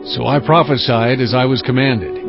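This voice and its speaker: An actor playing a reverent prophet, recounting visions in solemn, earnest, dramatic scripture narration. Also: male voice, mid-range voice, fairly even intonation, moderate pace, lightly acted. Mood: earnest, awed. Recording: dull audio under prominent music. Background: music.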